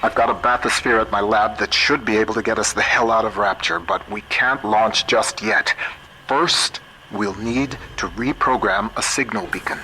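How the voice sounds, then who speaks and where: A middle-aged American scientist from a video game, shrewd and principled. His voice sits on the low side, with a measured, wry delivery. Clear noise runs behind him. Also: pushed and bright, low-quality recording. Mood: steady, guiding. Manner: measured, wry, plain-spoken. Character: shrewd, principled male computer scientist, wry and plain-spoken. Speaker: guide character. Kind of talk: video game voice acting, audio diaries and radio guidance.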